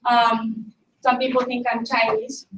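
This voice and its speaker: A woman's voice: a little high, completely flat delivery, a little slow. A middle-aged woman with a Japanese accent, a native Japanese speaker, giving an informal conference presentation as a linguistics teacher and often searching for words. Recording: echoey room, distant mic, faint noise.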